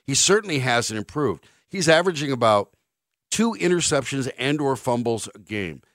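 A man's voice: mid-range voice, moderate pace, dry recording. A male sports radio host, ranting bluntly and sarcastically in a studio. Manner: blunt, sarcastic, animated rants. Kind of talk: opinionated sports radio monologue. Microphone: studio microphone.

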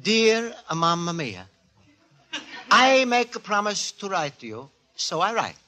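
An actor playing a naive, cheerful Italian immigrant antique dealer in broken English with an Italian accent, earnest and expressive, in 1940s radio comedy style. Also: male voice, sits high, slow pace, heavily acted. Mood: earnest, proud. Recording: 1940s radio recording, live studio audience.

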